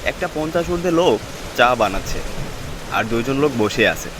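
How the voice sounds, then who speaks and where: A man's voice: medium pitch, lively intonation, normal speed, natural voice. A man playing a village cattle doctor who tells his eerie tale in the first person for a horror cartoon. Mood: uneasy, weary. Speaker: narrator and lead character. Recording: constant rain and wind noise behind.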